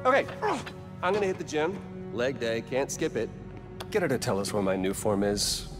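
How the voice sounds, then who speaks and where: A man's voice: mid-range voice, big pitch swings, normal speed, lightly acted. An American man playing a smug, flippant tech executive villain in a game cutscene, with a glib, chummy and condescending drawl. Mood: breezy. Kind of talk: video game cutscene acting. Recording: music behind the voice.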